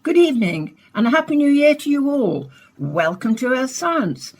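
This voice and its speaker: An actress playing a composed, courteous radio science presenter in a radio play, speaking in a polished, formal way. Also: female voice, sits high, big pitch swings, moderate pace, darkish tone, full character voice. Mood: welcoming, composed. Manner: polished, formal, carefully phrased. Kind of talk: scripted radio play, science broadcast delivery.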